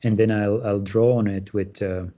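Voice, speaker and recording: A middle-aged man, a guest artist explaining his work on a panel, halting with many fillers and restarts. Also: fairly low pitch, fairly even intonation, dull audio. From Syria. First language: Armenian. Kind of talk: panel talk about his artwork.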